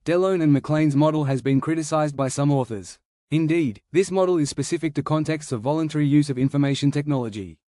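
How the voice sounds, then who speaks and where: A man's voice: fairly high pitch, brisk, dark tone, dry recording, clean studio sound. A man reading a practice passage aloud as scripted narration. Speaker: exam practice reader.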